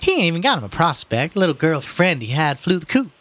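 A man's voice: a little high, big pitch swings, quick pace, a bit bright, voice pushed up. A man voicing a weary, protective older working-class mother from New Orleans, plaintive and folksy, for a storybook reading. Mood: weary complaining.

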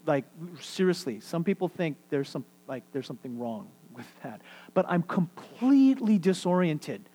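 New guy preaching, kinda high voice, goes real quiet to make a point, little hum behind. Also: sing-song, moderate pace, rough recording. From New York City. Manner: earnest, repetitive, drops to near-whisper for emphasis. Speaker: pastor. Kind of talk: sermon.

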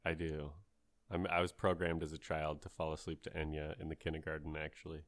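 Male co-host, thirties, low-pitched voice, casual gaming podcast conversation. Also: monotone, brisk. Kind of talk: casual chat about video games.

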